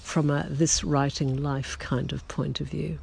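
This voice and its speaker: A writer speaks slowly in a relaxed, reflective audio diary, pausing often and correcting themselves as they go. The voice is gravelly with some vocal fry and a touch of hoarseness left over from a cold. The delivery stays gentle and unhurried, as if addressing longtime listeners. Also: mid-range voice, slight background noise. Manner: halting and gentle, with many ums and self-corrections. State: recovering from a lost voice, slightly hoarse. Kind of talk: slow reflective personal chat to listeners.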